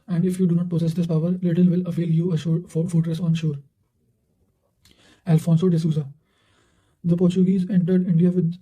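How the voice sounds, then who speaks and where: A man's voice: sits high, warm tone, rough recording. A man reading study material aloud in a formal reciting manner, sometimes stumbling and going back over a word. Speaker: narrator reading quotations for exam revision. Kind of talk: read-aloud study narration.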